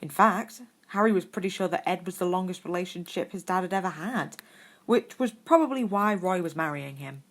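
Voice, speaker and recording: A woman's voice: lowish voice, brisk. A woman reading scripted fiction aloud as the narrator of an audio story. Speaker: podfic narrator.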